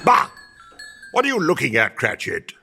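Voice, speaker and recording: A man's voice: medium pitch, huge pitch swings, moderate pace, vocal fry, loud music behind the voice. An actor playing a grumpy, miserly old businessman in a theatrical radio play, barking and scornful. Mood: grumbling.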